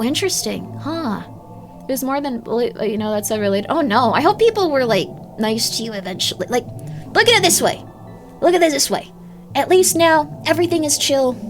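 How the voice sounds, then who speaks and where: A young woman streaming live, chatting casually with viewers and reading their comments, rambling with many filler words. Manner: rambling, lots of "like" fillers, laughs often, swings up and down in pitch.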